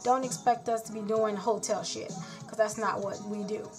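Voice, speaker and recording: A woman's voice: medium pitch, steady tone, normal speed, over music. A woman born in Haiti and raised in South Florida, a nurse, venting bluntly and frankly in a rambling casual vlog.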